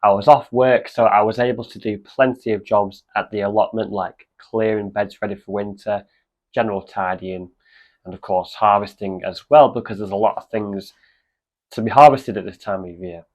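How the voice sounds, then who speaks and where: A man with a cold and a slightly croaky voice, chatting casually in a gardening vlog. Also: on the low side, moderate pace. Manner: chatty, relaxed, with many fillers. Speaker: allotment vlogger. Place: outdoors at an allotment.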